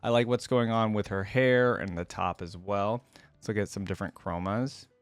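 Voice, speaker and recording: A man's voice: fairly low pitch, steady tone, normal speed, clear, resonant tone, no room sound. A man giving casual, enthusiastic reaction commentary as a video game fan on his channel.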